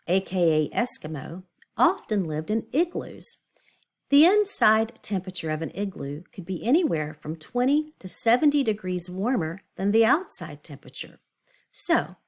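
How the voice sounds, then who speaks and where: A woman with a mid-pitched voice and very animated intonation, speaking as a teacher to children. She moves between reading aloud and explaining in her own words, in an instructive, think-aloud manner. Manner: explanatory, asks the listener questions and thinks aloud. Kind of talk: read-aloud lesson for children with explanatory asides.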